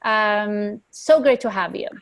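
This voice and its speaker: A woman's voice: mid-range voice, slow pace. A woman hosting an interview podcast, welcoming her guest and asking questions in an enthusiastic, encouraging way. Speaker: podcast host.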